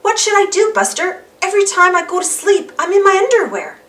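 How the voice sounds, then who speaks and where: A woman's voice: very high-pitched, lively intonation, brisk, a bit bright, recorded from a distance, pitched above the performer's own voice. A woman voicing an anxious, easily embarrassed young schoolboy in a storybook, plaintive and exclaiming. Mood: worried.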